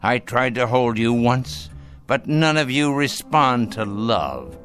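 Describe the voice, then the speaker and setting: A scornful old man near death, the patriarch of a radio drama. He speaks slowly and deliberately, with sweeping, theatrical rises and falls. His bitter contempt comes through in a bright, cutting tone. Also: medium pitch, expressive, faint music behind. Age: older. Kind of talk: dramatic radio play acting.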